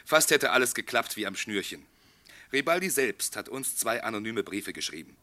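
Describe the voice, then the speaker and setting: An actor playing a courteous, shrewd and self-assured police inspector in a German radio play. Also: male voice, lowish voice, big pitch swings, moderate pace, bright, forceful tone. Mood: confident, explaining.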